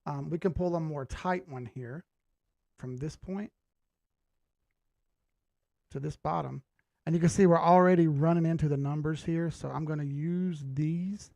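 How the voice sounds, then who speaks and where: A man with a slightly high voice and a lot of fry in it. He talks like a livestream host, loose and slangy, thinking aloud as he goes. The sound is dry and close. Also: a little mellow, dry recording. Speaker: host of a live market analysis stream. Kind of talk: casual live chart commentary.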